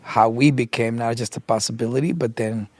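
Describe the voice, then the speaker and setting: A middle-aged man co-hosting a casual podcast chat, his voice raspy and tired after a long day, talking in a rambling way with many fillers. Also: medium pitch, steady tone, brisk, darkish tone. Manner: rambling, filler-heavy storytelling. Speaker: podcast co-host.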